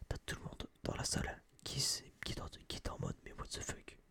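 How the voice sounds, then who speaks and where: A young man whispering a casual, opinionated ASMR review in a breathy voice, rambling and slangy, close to a binaural microphone. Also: a little fast, sharp tone. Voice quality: breathy, mostly unvoiced whisper. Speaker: host of an ASMR film review video.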